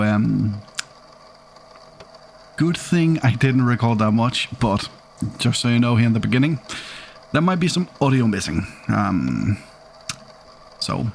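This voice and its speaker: A man's voice: medium pitch, slight background noise. A man in his thirties from Scandinavia, with a Scandinavian accent with traces of Irish, giving casual, hesitant commentary over his gameplay. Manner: hesitant, many ums and restarts, unhurried. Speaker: gaming YouTuber.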